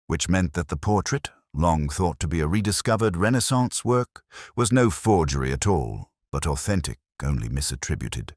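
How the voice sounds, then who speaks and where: A man voicing a melancholy, brooding English police detective, narrating a scripted mystery in a measured, solemn, literary manner. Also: extremely low pitch, no echo, studio quality, exaggerated voice. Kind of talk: scripted first-person detective story narration.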